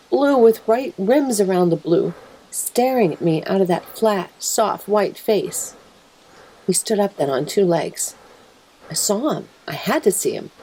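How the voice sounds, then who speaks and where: A woman voicing an anguished, plainspoken mother wolf in a dramatic first-person story reading, grave and emotive, with a husky, breathy voice. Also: medium pitch, dark tone, pitched above the performer's own voice, rough recording. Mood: horrified, anguished. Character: mother wolf telling of her husband's horrifying change, anguished, plainspoken.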